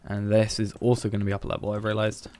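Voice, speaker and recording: A man's voice: on the low side, completely flat delivery, fast talker, a little hiss or hum. A man streaming a building game, thinking aloud in a hesitant, musing way as he plans. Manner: musing, hesitant, planning out loud.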